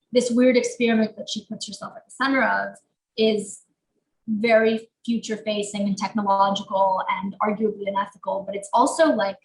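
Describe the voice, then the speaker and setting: Young woman actor, Portuguese native, casual rambling interview talk with a gravelly edge. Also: medium pitch, a little hiss or hum. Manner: chatty, lots of fillers like "um" and "like". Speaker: actor guest. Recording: roomy echo.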